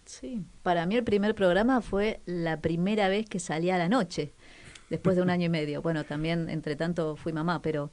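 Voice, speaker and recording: A woman co-hosting a reflective radio chat, pausing as she searches for words. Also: fairly low pitch, fairly even intonation, a little mellow. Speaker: radio show co-host.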